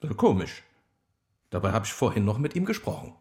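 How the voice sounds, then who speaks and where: An actor playing a suspicious, curt funeral home boss in a radio drama, confrontational and sarcastic. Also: male voice, on the low side, big pitch swings, a little fast, a little mellow.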